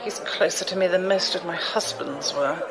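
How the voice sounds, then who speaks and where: A woman's voice: lowish voice, normal speed, noisy background, close to an everyday voice. A woman playing an imperious, shrewd, wealthy older noblewoman in an audio drama, commanding and condescending. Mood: amused, superior.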